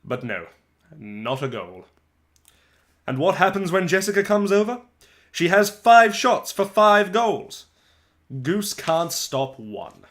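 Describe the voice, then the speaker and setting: A man voicing a chatty, wry eleven-year-old soccer-mad schoolboy in a dramatic storybook reading, conversational with animated asides and pauses. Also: sits high, pitched above the performer's own voice, full character voice. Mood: wry exasperation.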